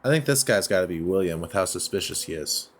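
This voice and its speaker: A man's voice: deep voice, expressive, quick pace, a little hiss or hum. A man giving casual let's play commentary, thinking aloud and often questioning himself as he goes. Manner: musing, self-questioning. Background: background music.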